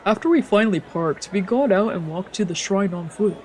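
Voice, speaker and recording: A woman's voice: mid-range voice, fairly quick, darkish tone, a little hiss or hum, poor audio. Woman reading a visual novel aloud. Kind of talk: let's play, narrating and voicing translated lines.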